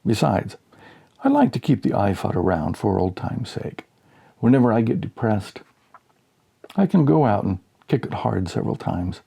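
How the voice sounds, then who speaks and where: A man narrating a humorous short story as an audiobook, with dry, measured storytelling. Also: fairly low pitch, big pitch swings, creaky, mellow, slightly rough.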